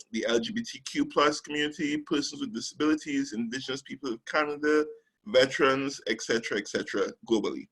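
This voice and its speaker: A man from Trinidad and Tobago, a recruitment specialist, giving a measured webinar presentation with frequent ums and pauses over a call microphone. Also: medium pitch, a bit bright, husky, poor audio.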